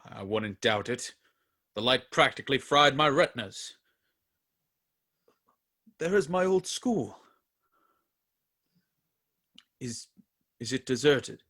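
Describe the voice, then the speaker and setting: Brief: man playing a sardonic old miser, unhurried delivery, radio drama. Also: mid-range voice, animated, pushed and bright, full character voice. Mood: wistful. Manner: dry, grumbling complaints. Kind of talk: community radio play acting.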